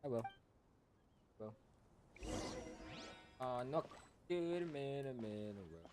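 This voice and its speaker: A man's voice: mid-range voice, lively intonation, slow, over music. A man streaming a game, goofily mimicking lines and joking. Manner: goofy, mimicking lines, laughing. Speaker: gameplay streamer. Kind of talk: playful commentary over a game.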